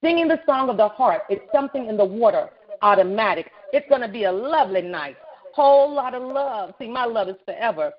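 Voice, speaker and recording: A woman performing a spoken word poem at an open mic, with a rhythmic, measured delivery. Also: a little high, expressive. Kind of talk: spoken word poetry recital. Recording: dull lo-fi online radio audio.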